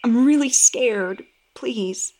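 A woman's voice: fairly high pitch, takes it slowly, warm tone, pitched above the performer's own voice. A woman as a timid, anxious young girl. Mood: tearful pleading. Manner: anxious, pleading. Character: timid, frightened girl pleading with her bolder friend. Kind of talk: horror story character dialogue.

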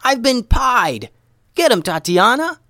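A man's voice: medium pitch, sing-song, fairly quick, no echo, studio quality, close to an everyday voice. Man voicing a bold, emphatic young female ninja. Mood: outraged. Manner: emphatic, commanding.

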